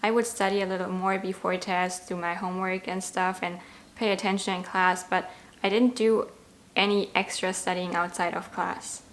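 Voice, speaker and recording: A woman's voice: lowish voice, flat delivery, moderate pace. A woman in her twenties from Germany, a native German speaker with a German accent, telling her own story in a reflective, candid, conversational way to camera. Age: 20s. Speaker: language-learning vlogger.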